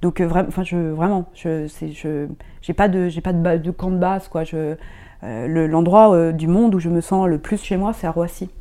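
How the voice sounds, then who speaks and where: A woman's voice: fairly low pitch, fairly even intonation, normal speed, warm tone. A middle-aged woman who grew up in Germany, talking as an interview guest in a hesitant way, with many restarts and fillers. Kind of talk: casual interview talk.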